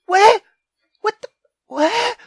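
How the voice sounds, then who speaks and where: A woman reading a visual novel aloud as a let's player, in an expressive, dramatic manner. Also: extremely high pitch, drawn-out words, no room sound, studio quality.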